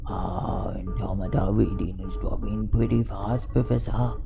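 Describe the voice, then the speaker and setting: A man's voice: on the low side, fairly even intonation, unhurried, creaky, music in the background. A man playing a loyal, hopeful rocket engineer in a radio drama, reporting with urgency. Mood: urgent, tense. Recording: muffled, dull home recording.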